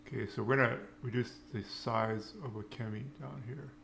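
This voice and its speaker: A man giving a casual coding screencast, thinking aloud in halting, unscripted phrases. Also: medium pitch, steady tone, vocal fry, fairly bright tone, slight background noise. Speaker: programming tutorial presenter.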